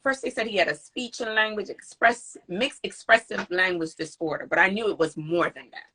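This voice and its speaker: A woman's voice: mid-range voice, moderate pace, husky. A woman hosting a casual live-stream interview, talking earnestly and with feeling, acting out what others said to her. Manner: earnest, animated storytelling with emphatic quoted speech. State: recovering from voice loss. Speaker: interview host and parent advocate. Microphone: video call.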